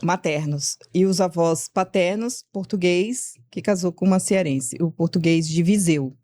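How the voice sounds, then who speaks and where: A woman's voice: lowish voice, a little slow, dark tone, dry recording. A woman guest on a talk show, telling a story earnestly in casual conversation.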